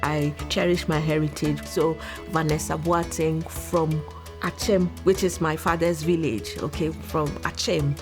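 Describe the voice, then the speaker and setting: A woman's voice: low voice, steady tone, measured pace. A woman from Ghana, speaking proudly and earnestly about her heritage in a documentary interview. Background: background music.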